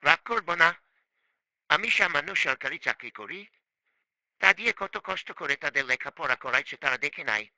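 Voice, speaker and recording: A man's voice: fairly high pitch, animated, normal speed, pauses often, sharp tone, dry recording, heavily acted. A man playing a stern, frustrated middle-aged father in a cartoon, scolding and complaining yet loving. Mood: angry, reproachful. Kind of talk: amateur cartoon voice acting.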